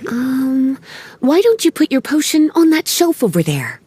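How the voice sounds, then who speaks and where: A woman's voice: sits high, sing-song, a little slow, mellow. A woman voicing a coy, self-assured young alchemy mentor in an anime-style video game, playful and instructive. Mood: encouraging. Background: game music.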